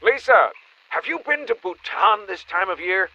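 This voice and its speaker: A man's voice: high-pitched, expressive, moderate pace, bright, forceful tone, full character voice. A man playing a flaky, evasive spiritual boss in a comedy audio drama, earnest and breezy, heard through a phone filter. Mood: blissful. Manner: breezy, new-age earnest. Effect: phone call filter. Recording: dull band-limited phone call.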